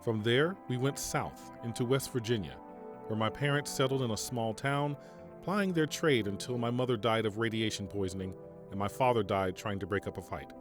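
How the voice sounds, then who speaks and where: An actor playing a calm, formal mercenary general in an audio drama, speaking in a measured way. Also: male voice, lowish voice, over music, close to an everyday voice. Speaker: military general.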